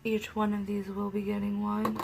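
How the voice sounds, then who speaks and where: A woman hosting a soap-making video, talking softly and casually because her throat is sore and she is losing her voice. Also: mid-range voice, completely flat delivery, normal speed, noisy background. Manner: soft-spoken, talks quietly. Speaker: soap-making channel host. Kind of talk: casual craft tutorial narration.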